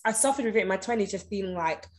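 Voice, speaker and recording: A woman's voice: medium pitch, racing, crowd noise. Brief: thirties woman, confident guest, direct and emphatic, mid-range voice. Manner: direct, self-assured, emphatic. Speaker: podcast guest. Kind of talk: casual conversation.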